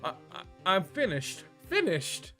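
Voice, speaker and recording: A man's voice: high voice, wildly swooping pitch, unhurried, pushed and bright, soft background music, voice pushed up, heavily acted. A man voicing a hysterical, melodramatic young defendant from a video game, wailing in despair. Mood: distraught. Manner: screaming, melodramatic.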